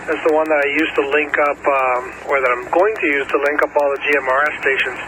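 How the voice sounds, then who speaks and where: Male ham operator, fairly high voice, informal halting tech talk, clearly noisy repeater transmission. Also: fairly even intonation. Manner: informal, halting, slangy with "dude" and "bro". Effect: two-way radio transmission. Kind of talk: casual technical chat over radio.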